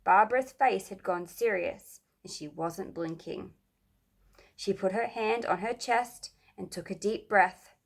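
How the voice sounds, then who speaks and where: A woman's voice: mid-range voice, measured pace, vocal fry. A woman narrating a children's book as a storybook reader.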